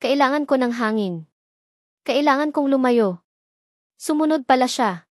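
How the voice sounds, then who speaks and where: A woman's voice: high-pitched, lively intonation, a little slow, no echo, studio quality. A woman with a Filipino accent reading a scripted first-person romance story as a storyteller.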